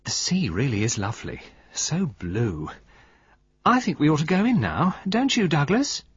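A man's voice: on the high side, huge pitch swings, normal speed, slightly rough, dry recording, dull audio, voice pushed up, heavily acted. A man voicing a timid, chirpy, eagerly polite young wife in a storybook reading. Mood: subdued, happy. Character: small mousy, diffident, eagerly agreeable young wife.

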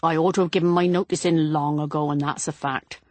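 A woman's voice: deep voice, steady tone, fairly quick, a little mellow, no echo, lightly acted. A woman doing a sour old housemaid. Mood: sour indignation. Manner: indignant, disapproving, venomous.

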